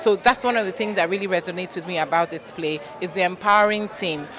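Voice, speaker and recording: A woman's voice: on the low side, fast talker, a bit bright, some chatter behind. A middle-aged woman giving an interview as a guest at an outdoor event. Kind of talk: on-camera vox-pop interview. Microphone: handheld reporter microphone.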